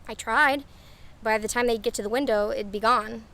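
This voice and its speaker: A woman's voice: a little high, steady tone, moderate pace, sharp tone. An actress playing a wary, candid young American woman from a small town in an audio drama, in a conversational style. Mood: candid, aggrieved. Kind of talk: naturalistic audio drama dialogue.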